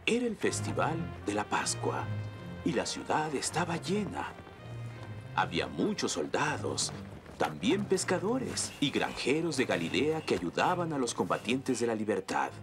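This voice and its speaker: An earnest storyteller from a children's cartoon. His mid-pitched voice sweeps dramatically up and down as he narrates, with music clearly behind him. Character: earnest adult man telling Bible stories to children.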